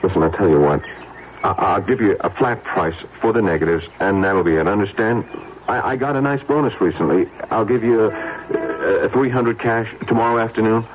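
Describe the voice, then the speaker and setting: A man's voice: on the low side, lively intonation, normal speed, lightly acted. An actor playing a young married office man, anxious, defensive and stammering, in an old-time radio drama. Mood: flustered, pleading. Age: young adult. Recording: tinny band-limited radio recording, faint noise.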